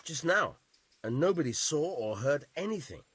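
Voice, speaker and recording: Gruff, husky village bailiff. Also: male voice, a little high, expressive, moderate pace, fairly bright tone. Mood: blunt. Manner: blunt, grudging, colloquial. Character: gruff, weary village bailiff, dismissive and blunt. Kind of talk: video game cutscene acting.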